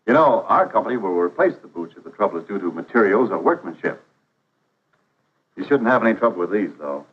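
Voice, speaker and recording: A man's voice: fairly low pitch, expressive, brisk, lightly acted. An actor playing an earnest, polite, persuasive travelling salesman in a 1950s training film, with courteous, confident patter. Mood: reassuring. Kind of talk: 1950s instructional film acting. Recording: dull vintage 1950s film soundtrack.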